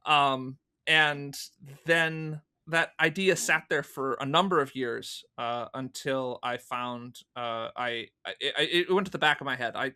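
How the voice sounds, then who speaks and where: A man's voice: mid-range voice, pushed and bright, clear, resonant tone. A man in his thirties speaking as an interview guest, telling stories casually and acting out remembered thoughts in asides. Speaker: podcast guest, audio drama producer.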